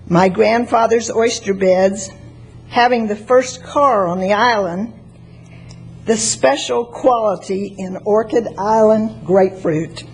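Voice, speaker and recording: A woman in her sixties from Florida with a soft voice, reading a prepared statement at a public hearing. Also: medium pitch, rough recording. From Florida, United States. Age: 60s. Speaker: member of the public giving testimony. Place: public meeting chamber at a podium microphone. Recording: faint background noise.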